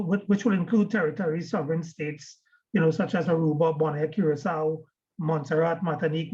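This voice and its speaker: A man's voice: fairly high pitch, a little mellow. A man from Trinidad and Tobago with a Trinidadian accent, giving a webinar presentation as guest speaker, often repeating words as he starts a phrase. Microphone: video call. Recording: dull lo-fi video call audio.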